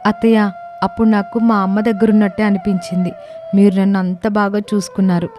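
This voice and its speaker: An earnest young village daughter-in-law voiced by a woman in a cartoon story. She is respectful and openly sentimental, with a soft, warm tone in a middle pitch. Music runs underneath. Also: level tone, a little fast, lightly acted. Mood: tearful, apologetic. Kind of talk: acted dialogue in animated comedy story.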